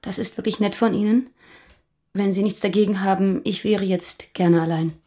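Casting: female actor as guarded, reserved woman, very mellow dark tone, restrained naturalistic radio-play delivery. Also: mid-range voice, fairly even intonation, normal speed, close to an everyday voice. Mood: shaken, restrained. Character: wary, reserved woman living alone under surveillance. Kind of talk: naturalistic radio drama acting.

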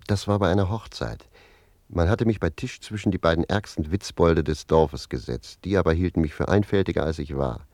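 An actor playing a sly, easygoing servant who tells bawdy tales in a radio play, unhurried and wry with mock innocence. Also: male voice, low-pitched, a little mellow, dry recording, clean studio sound. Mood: sly narrating.

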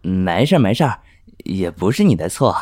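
A man's voice: sits low, sing-song, moderate pace, a little mellow. A man playing a flustered, easily smitten young homebody in an audio drama, speaking hesitantly and stammering. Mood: apologetic, shy. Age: young adult. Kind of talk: Chinese audio drama acting with inner monologue. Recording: music under the voice. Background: music.

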